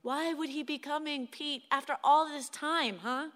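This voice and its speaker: An actress playing a weary, patient wife in a radio play, wry, coaxing and firm. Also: female voice, high voice, steady tone, unhurried, a bit bright. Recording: long hall-like reverb.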